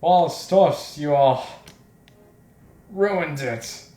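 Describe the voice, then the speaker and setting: A man voicing an outraged, breathless female tank reporter in a visual novel, speaking in halting bursts. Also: a little high, dark tone, roomy sound, faint music behind. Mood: indignant.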